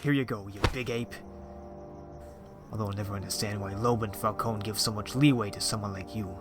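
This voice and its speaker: A man playing a short-tempered mob lieutenant in an audio drama, gruff, scornful and bossy. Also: lowish voice, fairly even intonation, fairly quick, over music, natural voice. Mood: scornful, irritated.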